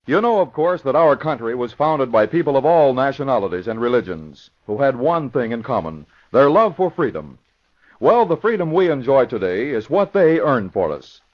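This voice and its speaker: A man's voice: mid-range voice, very animated, moderate pace. A man announcing and narrating a vintage radio show in a formal, dramatic, scripted style. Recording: dull lo-fi vintage radio recording.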